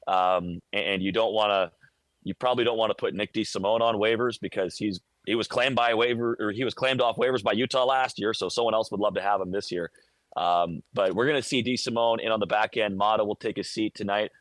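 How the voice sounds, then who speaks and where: A man's voice: lowish voice, fairly even intonation, brisk, a bit bright, dry recording. An American man working as a radio play-by-play broadcaster, giving detailed, conversational sports analysis live and stammering over word starts.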